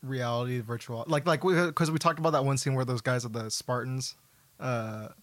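A man's voice: mid-range voice, steady tone, normal speed, fairly bright tone, no echo. A man co-hosting a podcast, chatting casually in an informal, jokey way. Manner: informal, jokey, breaks into laughter. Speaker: podcast co-host. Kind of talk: casual chat about films and games.

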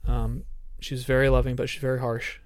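Earnest, smooth-voiced man in his thirties. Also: mid-range voice, little pitch movement, fairly quick, dry recording, studio quality. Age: 30s. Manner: earnest, open, thoughtful. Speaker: podcast co-host. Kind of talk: casual reflective chat.

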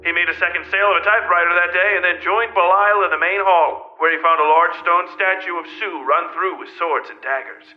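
A man's voice: high voice, steady tone, a little fast, hardly any pauses, dull audio. A man reading a scripted story recap and teaser in a theatrical, suspenseful way. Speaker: podcast narrator giving recap and teaser. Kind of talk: dramatic scripted narration. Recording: music under the voice. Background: music.

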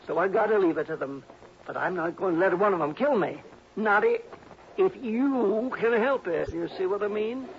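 An actor playing a stingy, suspicious old uncle in an old-time radio drama, blustering and querulous. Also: male voice, sits high, moderate pace. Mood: indignant, grudging. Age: older. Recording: noisy, muffled old radio recording.

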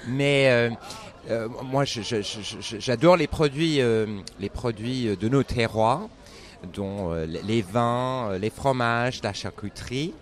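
A man's voice: medium pitch, slow pace. A man with a British accent, speaking as a wine competition judge in an interview outdoors at a fair, hesitant with many restarts.

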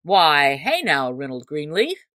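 Woman doing a gruff, blustering stout cook. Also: low voice, sing-song, slow, fairly bright tone, dry recording, studio quality, full character voice. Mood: threatening. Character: tall, stout, blustering, valorous male cook.

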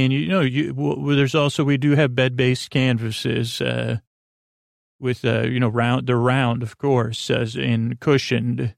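A man rambles through a sleep-podcast monologue, losing his thread and starting over again and again. His voice has a gravelly rasp. It sounds dry and close, with no room around it. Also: dry recording. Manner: meandering, halting, full of fillers and restarts; deadpan mock product pitch.

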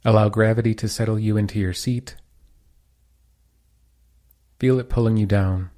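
Low, mellow man guiding a meditation. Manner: calm, soothing, unhurried instructions. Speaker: meditation teacher. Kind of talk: guided meditation, spoken instruction.

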